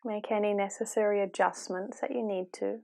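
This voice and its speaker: A woman speaks as a meditation guide at a medium pitch. Her delivery is calm and gentle, giving soft instructions without any hurry and leaving long pauses between phrases. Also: creaky.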